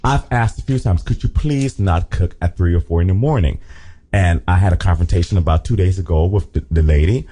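A man casually gossiping on a podcast with sassy, animated storytelling. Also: deep voice, brisk, breathy edge. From Liberia. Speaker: podcast co-host.

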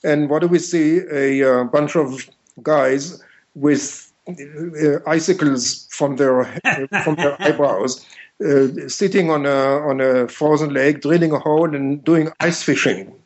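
An older man from Israel with an Israeli accent, telling anecdotes haltingly as an interview guest. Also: a little high, steady tone, a little mellow. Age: 70s. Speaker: interview guest, author and retired mathematics teacher. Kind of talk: unscripted anecdotal interview talk. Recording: dull, lo-fi long-distance call.

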